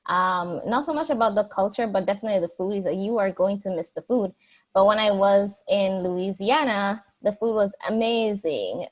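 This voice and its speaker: A woman's voice: medium pitch, darkish tone. A young woman from Jamaica, answering interview questions in a chatty way, with many fillers and restarts. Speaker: guest.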